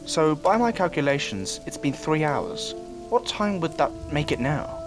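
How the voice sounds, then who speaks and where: Man acting as a measured, analytical time traveller. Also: a little high, sing-song, faint music behind. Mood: measured, explaining. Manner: measured, formal explaining. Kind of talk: audio drama acting.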